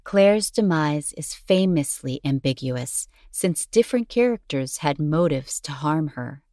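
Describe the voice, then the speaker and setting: A woman with a low-pitched voice narrates an educational script. Her reading is measured and articulate, and the sound is dry, with very little room in it. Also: animated, dark tone, dry recording.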